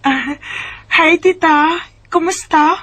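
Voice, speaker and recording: A woman acting a gentle, self-sacrificing young mother in a Bisaya radio drama, with a hesitant, plaintive delivery and Filipino accent. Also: very high voice, takes it slowly, full character voice. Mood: shy.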